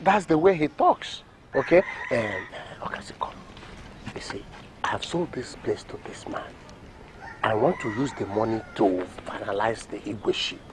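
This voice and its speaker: A man's voice: fairly low pitch, animated, normal speed, noisy background, natural voice. An actor with a Nigerian accent playing a defiant, scheming man in a film drama, speaking emphatically and repeating himself. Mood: placating, hushed. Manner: emphatic, repetitive. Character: defiant, scheming man, self-styled progressive, quick to swear oaths.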